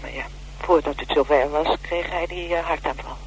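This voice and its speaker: An actress playing a wary, aggrieved middle-aged widow in a radio play, speaking hesitantly and defensively. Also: female voice, low-pitched, normal speed, natural voice. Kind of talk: naturalistic radio play acting. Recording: noisy old radio broadcast with hum.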